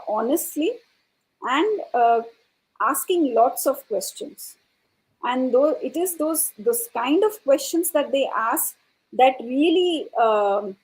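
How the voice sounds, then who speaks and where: A woman speaking as an educator on a panel, explaining her views in a halting, thoughtful way and often repeating words. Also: high-pitched, a little mellow, rough recording. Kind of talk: panel discussion answer, explanatory.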